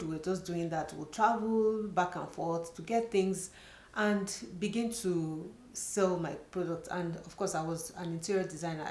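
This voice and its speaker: A woman's voice: fairly low pitch, darkish tone. Chatty Nigerian woman in an echoey room. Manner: earnest, chatty, frequent "you know" fillers. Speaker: vlogger. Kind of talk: personal storytelling to camera.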